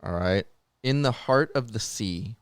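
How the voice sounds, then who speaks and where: A man chatting casually as he hosts a quiz among friends, animated and joking in his delivery. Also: on the low side, a little slow, smooth, dry recording. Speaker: podcast co-host running a movie trivia game. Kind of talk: casual chat.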